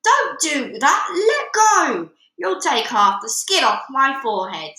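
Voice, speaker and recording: Woman doing a blustering angry father, loud, yelling, echoey room. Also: on the high side, very animated, moderate pace. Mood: pained yelling. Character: blustering hot-tempered father, middle-aged man.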